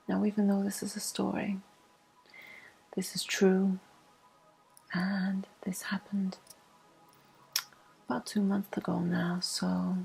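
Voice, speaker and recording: A woman telling a personal story as an ASMR host in a breathy, hushed, mostly whispered voice with slow pauses. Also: lowish voice, poor audio.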